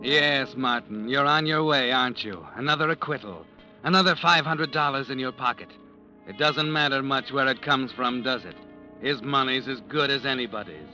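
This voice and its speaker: A man's voice: mid-range voice, moderate pace, sharp tone. An actor playing a sardonic, all-knowing male narrator in a 1940s radio drama, speaking to the hero as "you" in a mocking, insinuating way. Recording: old radio broadcast with music. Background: music.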